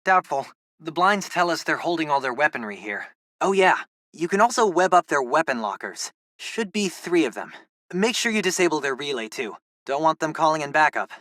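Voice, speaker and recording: Casting: excitable teen tech geek, game sidekick; very animated pitch, wisecracking, dry close recording. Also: male voice, normal speed, dry recording. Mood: playful, brisk. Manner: casual, wisecracking, expressive. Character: nerdy, excitable teenage boy, hero's tech-savvy best friend. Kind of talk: video game voice acting.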